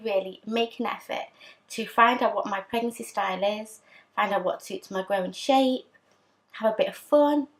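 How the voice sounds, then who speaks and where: A young woman vlogger chatting candidly to camera, her voice husky from a sore throat, with frequent ums. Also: mid-range voice, fairly bright tone, low-quality recording. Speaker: fashion vlogger. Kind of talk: casual chat to camera.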